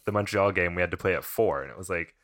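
A man hosts a casual podcast, talking in a lowish, bright and forceful voice. He tells stories in a loose, chatty way and laughs often. The sound is close and dry. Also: fast, no room sound. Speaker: podcast host. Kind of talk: casual chat.

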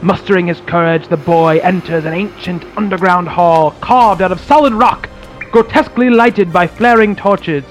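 A man hosts and narrates in the style of an old radio serial. His voice sits high, with a theatrical announcer delivery and music playing beneath it. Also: normal speed, low-quality recording. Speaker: podcast host and narrator.

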